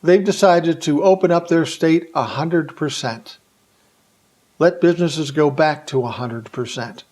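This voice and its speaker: A man's voice: fairly high pitch, a little slow, a little mellow. An American man delivering an opinionated political monologue in blunt, colloquial terms, posing rhetorical questions and answering them himself. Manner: blunt, colloquial, emphatic phrasing. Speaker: political commentary vlogger.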